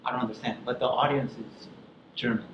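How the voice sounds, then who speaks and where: A man's voice: medium pitch, steady tone, brisk, fairly bright tone, highs cut off. A man hosting a podcast interview, asking curious questions and reacting with short interjections. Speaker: podcast host. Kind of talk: casual interview chat. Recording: echoey room with faint noise.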